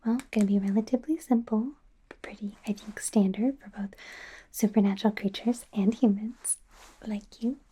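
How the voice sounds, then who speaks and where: A woman playing a friendly, self-correcting werewolf doctor in an ASMR roleplay, speaking in a soft, gentle, hesitant whisper. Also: mid-range voice, steady tone, moderate pace, a little hiss or hum, lightly acted. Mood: flustered, sheepish.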